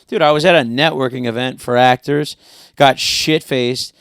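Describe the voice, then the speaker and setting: Young comedian guest, smooth clear voice, rambling filler-heavy storyteller, dry close sound. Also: male voice, mid-range voice, fairly even intonation, moderate pace, a bit bright, dry recording. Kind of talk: casual podcast banter.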